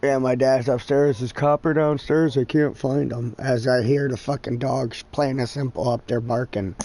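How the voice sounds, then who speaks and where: A man's voice: medium pitch, fairly even intonation, moderate pace, warm tone. A man in his thirties chatting casually while streaming a game, rambling, profane and exasperated. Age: 30s. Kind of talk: casual chat.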